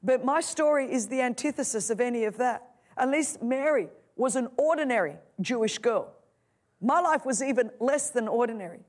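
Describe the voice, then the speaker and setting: Aussie woman preaching, middle-aged, big swings from hushed to loud. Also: a little high, lively intonation, normal speed. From Australia. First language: Greek. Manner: impassioned, swings from loud to soft. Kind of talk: sermon testimony.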